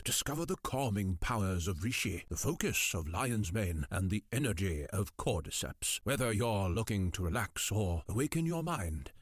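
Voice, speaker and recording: A male announcer delivers a theatrical commercial script. His voice is somewhat low and very animated, recorded dry and close. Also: no echo. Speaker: advertisement announcer.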